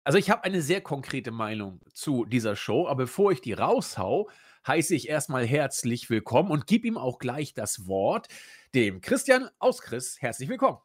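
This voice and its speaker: Hoarse chatty man hosting a podcast. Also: medium pitch, very animated, normal speed. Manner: chatty, restarts sentences, laughs at own slips. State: hoarse with a cold. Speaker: podcast host. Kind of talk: casual podcast chat.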